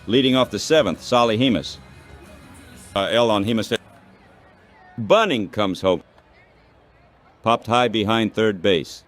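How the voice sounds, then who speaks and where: A man's voice: lowish voice, lively intonation, a little slow, long pauses, soft background music. A man's synthetic text-to-speech voice calling baseball play-by-play in short, clipped phrases. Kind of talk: baseball radio play-by-play commentary.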